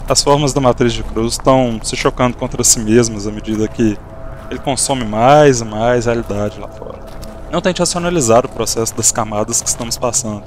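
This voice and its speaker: A man playing a calm, composed guide who explains things in an audio drama. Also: mid-range voice, brisk, slight background noise, lightly acted. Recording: long reverb, faint ambience.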